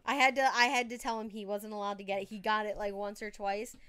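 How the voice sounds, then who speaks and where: A woman's voice: mid-range voice, flat delivery, quick pace, fairly bright tone, clear, resonant tone. A woman hosting a true crime podcast, telling the story casually with informal, emphatic asides.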